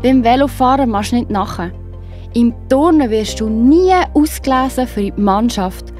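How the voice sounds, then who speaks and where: A woman with a Swiss accent narrates a children's Bible tale. Her voice is a little higher than average. Faint music plays behind her storytelling. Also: normal speed, darkish tone.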